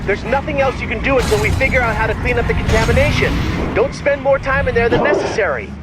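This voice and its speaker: A man's voice: extremely high pitch, fast. An actor playing an urgent, insistent male ally who briefs the hero in a video game. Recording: heavy game sound and vehicle noise.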